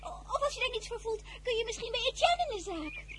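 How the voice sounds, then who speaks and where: A naive teenage girl in love speaks earnestly and a little timidly, in a radio play. Her voice sits high, well above a typical woman's. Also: sing-song, fast, a bit bright, slight background noise, full character voice. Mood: pleading.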